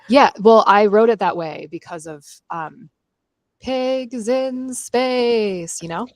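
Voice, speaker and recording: A woman's voice: a little high, animated, slow, a little mellow, no room sound. A woman chatting casually as co-host of a book discussion podcast.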